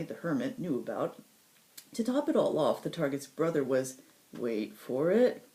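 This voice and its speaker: A sardonic, crude supernatural hitman as played by a woman tells his own story in a very low, soft-edged voice. The delivery swings widely in pitch, full of sarcastic asides and dramatic pauses, like a cynical audiobook narrator. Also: low-quality recording. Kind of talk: first-person audiobook-style story reading.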